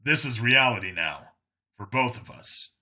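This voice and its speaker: A man voicing a hardened, grimly sardonic human lawman with a flat, blunt, deadpan manner in an audiobook story. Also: mid-range voice, measured pace. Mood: grim, resigned.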